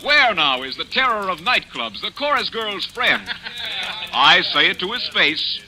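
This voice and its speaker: An actor playing a pompous, teasing toastmaster at a bachelor party, orating with mock solemnity in 1940s radio style. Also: male voice, high-pitched, very animated, a little slow, heavily acted, rough recording. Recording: old radio drama, crowd behind.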